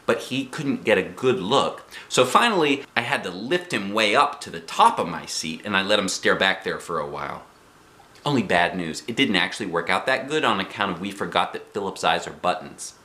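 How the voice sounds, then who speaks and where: A man reading a children's story aloud in an expressive, playful, animated manner. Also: on the low side, moderate pace, reverberant. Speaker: storybook reader.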